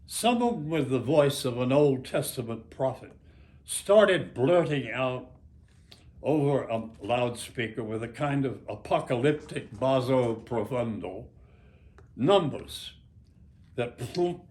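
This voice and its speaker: An elderly American man in his nineties reads literary prose aloud at a very unhurried pace. His pitch sits in an average male range. He phrases deliberately and dramatically, leaving pauses between short groups of words. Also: animated, darkish tone. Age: 90s. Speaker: author. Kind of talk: reading own memoir aloud, literary narration.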